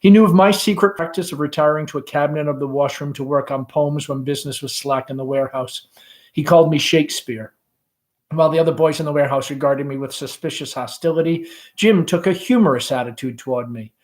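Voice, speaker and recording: Guy reading a play out loud, voice a bit high, deliberate and expressive. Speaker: reader, principal. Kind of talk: read-aloud of a play, scripted narration.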